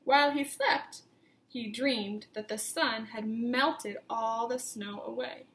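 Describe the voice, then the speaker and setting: A woman teacher reading a picture book aloud to young children, with expressive emphasis and simple questions put to the listeners. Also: fairly high pitch, takes it slowly, echoey room. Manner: simple instructive phrasing, questions addressed to children.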